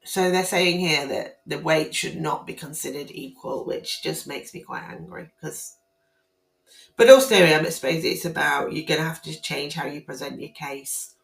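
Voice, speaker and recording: A woman with a hoarse, croaky voice talks to camera in an indignant, emphatic way, circling back and restarting her phrases. Her tone sits a little low and gravelly. The room around her adds a noticeable echo. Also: steady tone, moderate pace. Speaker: family court commentator. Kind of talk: casual spoken commentary on guidance to camera. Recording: roomy echo.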